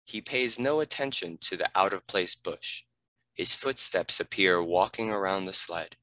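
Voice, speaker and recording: A man's voice: low voice, a little slow, bright, forceful tone, dry recording, poor audio. A man giving scripted narration, reading out the stage directions of a screenplay.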